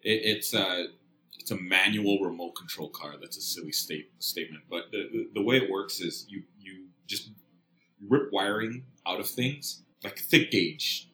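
A man's voice: low voice, measured pace, bright, forceful tone, reverberant. A middle-aged man from Kenya, a podcast guest telling a casual rambling story with frequent laughs. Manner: rambling, laughs often between phrases.

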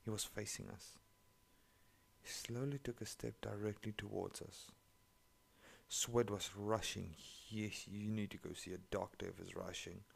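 Man, English, sarcastic swearing story reader, deep and gravelly. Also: fairly even intonation, measured pace. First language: not English. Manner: sarcastic, profane running commentary. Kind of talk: reading online stories aloud with commentary.

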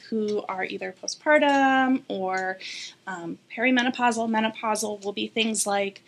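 A woman speech-language pathologist explaining voice and hormones in an interview, steady and informative with frequent ums. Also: fairly high pitch. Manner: clear, informative, many ums.

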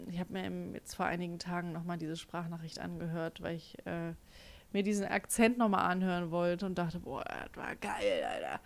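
A woman in casual conversation, outspoken and expressive, with frequent fillers. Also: on the low side, smooth. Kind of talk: casual chat.

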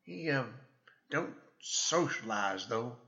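A man acts out a folksy small-town sheriff from an old-time radio western. He hems and haws in a slow, bright Western American drawl, on a dull lo-fi recording. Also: medium pitch, expressive, creaky, exaggerated voice. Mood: helpful, chatty.

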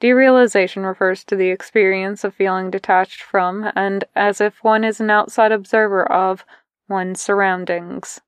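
A woman reading a fan fiction story aloud, in slow, hushed and fragmented narration. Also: medium pitch, steady tone, clean tone, dry recording. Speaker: podfic reader.

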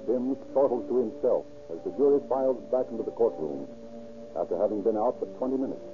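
A man's voice: mid-range voice, animated, a little fast, noisy background. A man reading scripted narration as a formal, emphatic 1930s radio announcer. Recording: tinny 1930s radio recording with music.

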